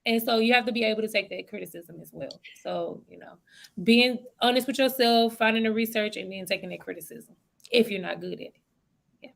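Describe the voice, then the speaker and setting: A woman's voice: mid-range voice, brisk, vocal fry, a bit bright. A woman in her thirties with a Southern US accent, a guest chatting casually and laughing easily. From Southern United States. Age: 30s. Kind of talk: casual chat.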